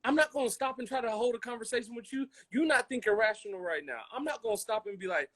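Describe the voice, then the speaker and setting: A man's voice: very high-pitched, fast talker, a bit bright. An American man co-hosting a casual, impassioned podcast, talking emphatically, repeating phrases and acting out imagined quotes. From military family, grew up in Germany, Colorado and Hawaii. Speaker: podcast co-host.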